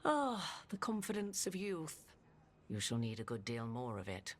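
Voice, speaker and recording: A woman's voice: a bass voice, very animated, unhurried, heavily acted. A woman playing a regal, cryptic witch and arcane advisor in a video game, with formal, archaic, theatrical speech. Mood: wry, knowing. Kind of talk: video game dramatic acting.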